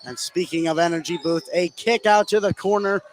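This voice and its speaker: An American man does live basketball play-by-play for radio in a fairly high voice. He gets excitable on big plays. The broadcast carries a clearly noisy gym ambience behind him. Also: fairly quick. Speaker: sports radio play-by-play announcer. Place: indoor high school basketball game.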